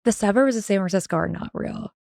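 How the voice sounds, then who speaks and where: A woman's voice: medium pitch, gravelly, a little mellow, no room sound, studio quality. Sassy, laughing woman guest. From California, USA. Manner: sassy, laughing, quick asides. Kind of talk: casual chat.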